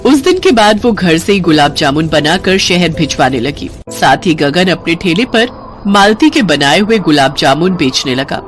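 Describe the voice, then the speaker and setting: A woman's voice: lowish voice, animated, normal speed, soft background music. A woman storyteller reading scripted moral-story narration.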